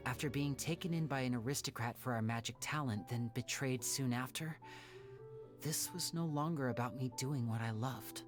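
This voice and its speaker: An actor playing a young male magician with secrets, speaking in a soft, reflective, measured game-dialogue style. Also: mid-range voice, close to an everyday voice. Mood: regretful. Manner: soft-spoken, reflective, measured theatrical delivery. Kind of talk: scripted dramatic game dialogue. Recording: music playing under the voice.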